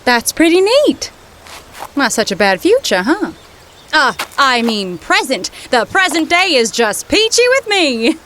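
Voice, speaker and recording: A determined young adventurer, played by a woman in a comic audio drama. Her voice is high and very animated, and she comes across as brisk and assertive. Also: slight background noise, exaggerated voice. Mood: awkward, amused. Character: plucky, determined young female time-travelling adventurer.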